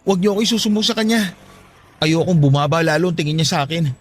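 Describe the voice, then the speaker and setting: A man's voice: on the high side, fast, a little hiss or hum, close to an everyday voice. An actor playing a struggling grown son in a radio drama, defensive and bitter, ashamed of how he earns money. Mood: pleading. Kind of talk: Tagalog radio drama acting.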